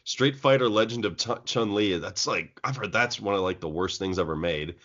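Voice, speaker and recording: Low-voiced man in casual chat, lo-fi. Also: normal speed, a bit bright. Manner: rambling, restarts, mocking quotes. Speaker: podcast co-host. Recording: dull lo-fi audio.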